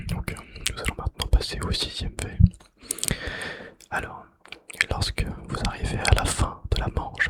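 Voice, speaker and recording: A young man whispering ASMR gaming facts in a breathy, unvoiced voice with mouth sounds, slightly out of breath, repeating phrases slowly, close to the microphone. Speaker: ASMR video creator. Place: bedroom.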